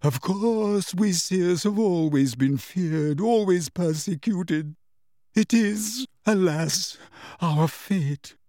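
A man voicing a theatrical, wounded female divination teacher in a high, wavering, emotional voice from a storybook. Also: dark tone, no room sound, clean studio sound. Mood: dramatic, self-pitying. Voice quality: high-pitched, wavering, tremulous. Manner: drawn-out, dramatic, throbbing with emotion.

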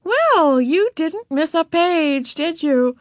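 A woman's voice: very high voice, sing-song, slow, dry recording, clean studio sound, voice pushed up, heavily acted. A woman voicing an understanding children's librarian in a storybook, polite and sing-song. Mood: regretful, matter-of-fact.

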